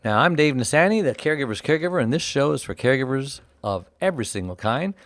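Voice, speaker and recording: A man's voice: medium pitch, expressive, quick pace, a bit bright, no room sound, studio quality. A man hosting a radio talk show with an enthusiastic, jokey manner, stretching words for emphasis. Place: Los Angeles.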